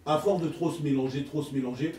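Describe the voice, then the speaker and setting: A man's voice: a little high, fast, dark tone. Man arguing in a roomy, distant recording. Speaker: debate panelist. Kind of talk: heated casual group debate. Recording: roomy, distant mic with background noise.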